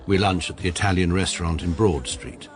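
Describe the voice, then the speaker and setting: An actor with an English accent playing a stiff, formal barrister who narrates in a dry, deadpan way. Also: male voice, deep voice, a little fast, faint music behind, natural voice. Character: stiff, pedantic upper-class male barrister. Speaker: lawyer narrator.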